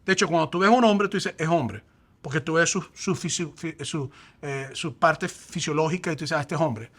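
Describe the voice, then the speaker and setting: A man, a pastor, explaining faith and identity in a lively conversational way, acting out quoted examples. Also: fairly high pitch, a little fast, slightly rough.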